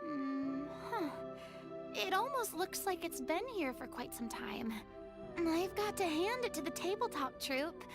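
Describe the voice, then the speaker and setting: A cheerful young adventurer gal, high voice that jumps around, upbeat, music bed. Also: female voice, unhurried, full character voice. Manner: lively, upbeat, eager.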